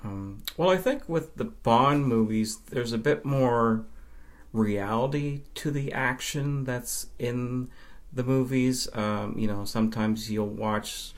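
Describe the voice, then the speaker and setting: A man's voice: medium pitch, slow pace. A man from Nova Scotia, Canada, chatting casually as an interview guest and film fan.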